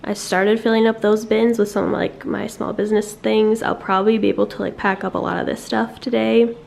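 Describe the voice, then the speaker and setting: A young woman from the with a stuffy, raspy voice from a cold, chatting casually to camera at home with lots of fillers. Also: mid-range voice, fairly even intonation, a little fast, a little mellow, a little hiss or hum. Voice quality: raspy from illness. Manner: chatty, rambling with many fillers. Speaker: vlogger. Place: apartment at home, indoors.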